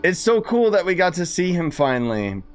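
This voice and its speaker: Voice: medium pitch, very animated, normal speed, faint music behind. A young adult gaming streamer giving casual, slangy playthrough commentary, sarcastic and exasperated.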